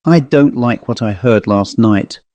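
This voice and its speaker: A man's voice: medium pitch, measured pace, dark tone, dry recording, close to an everyday voice. A man voicing an anxious, insistent woman in a dramatised storybook reading, with urgent, emphatic questioning. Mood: uneasy, determined. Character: anxious, insistent woman searching for her missing niece.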